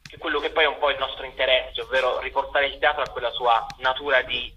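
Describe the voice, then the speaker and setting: Very bright-voiced young man, noisy recording. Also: medium pitch, fairly even intonation, fast, lo-fi sound. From Italy. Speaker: theatre director and author. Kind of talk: interview answers.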